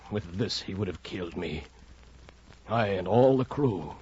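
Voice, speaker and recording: An actor playing a brooding, conscience-torn first mate of a whaling ship in an old radio drama, with solemn archaic diction. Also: male voice, on the low side, a little mellow, a little hiss or hum. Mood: agitated. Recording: muffled old radio recording, music behind.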